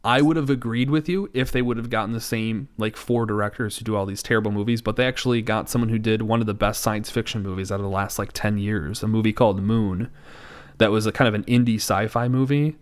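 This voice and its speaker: A man's voice: on the low side, steady tone, quick pace, smooth. Man chatting as podcast co-host. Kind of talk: casual pop culture discussion.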